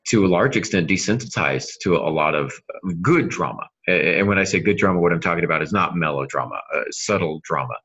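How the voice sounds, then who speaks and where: A middle-aged man with a very low voice and a forceful edge talks casually as a podcast co-host. He is earnest and emphatic, pausing for effect, on a slightly dull recording. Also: expressive, slightly rough. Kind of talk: casual panel discussion on writing craft.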